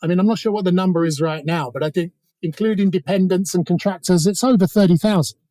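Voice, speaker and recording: A man's voice: high voice, fast, dark tone. A man speaking as an interview guest, giving informal, discursive analysis with a wry edge. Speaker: interview guest, author on North Korea.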